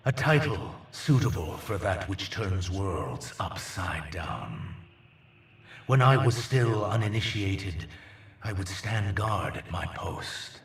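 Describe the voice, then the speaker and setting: An ancient alien prophet, grave and unhurried. His tone is very deep and gravelly, and every word comes slow and ominous. The sound is roomy and a little distant, with a faint hiss behind. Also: full character voice.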